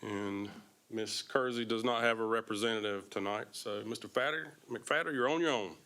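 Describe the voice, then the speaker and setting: A man's voice: on the low side, moderate pace, bright, forceful tone, clean tone. A man moderating a candidate forum, introducing speakers politely with a few stumbles.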